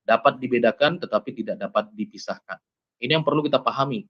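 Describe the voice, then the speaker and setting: A man's voice: medium pitch, fast talker, lo-fi sound. A man arguing theology as a debater, explaining emphatically and repeating himself with rhetorical questions. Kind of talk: impassioned theological argument.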